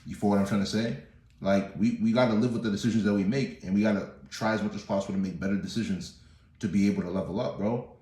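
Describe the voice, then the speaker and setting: Fast-talking young man giving pep talk. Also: fairly low pitch, fairly even intonation, warm tone. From Nigerian family, born in the US. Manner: preachy, repeats "bro" and "you know what I'm saying". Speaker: motivational vlogger. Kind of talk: casual motivational talk to camera. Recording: echoey room.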